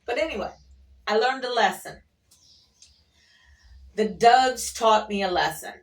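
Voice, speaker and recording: A woman's voice: on the high side, animated, measured pace, recorded from a distance. A woman vlogger telling rambling, casual stories in a chatty, folksy and playful way. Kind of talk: casual rambling storytelling to camera. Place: at an airport workplace.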